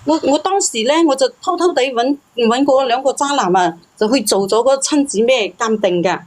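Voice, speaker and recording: Woman, high-pitched, Cantonese accent, phone-in caller, confessional storytelling, pleading, defensive. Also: normal speed, a little hiss or hum. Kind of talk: personal confession, casual storytelling.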